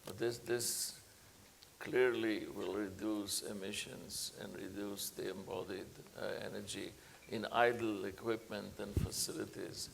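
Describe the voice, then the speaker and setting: A man speaks on a conference panel in a deeply gravelly, fry-heavy voice, stopping often to hunt for words. His delivery stays flat and low-pitched. A faint hiss sits under the recording. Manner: halting, many uh fillers and restarts. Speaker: panelist, engineering professor.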